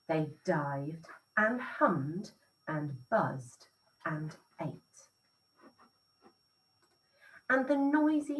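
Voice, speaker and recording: A woman teacher reading a rhyming picture book aloud in an expressive, sing-song storytelling way. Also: medium pitch, distant mic, low-quality recording.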